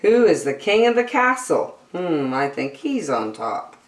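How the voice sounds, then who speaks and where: A woman's voice: low voice, big pitch swings, unhurried, reverberant. A woman hosting story time, reading a picture book aloud to young children expressively, with chatty asides and questions.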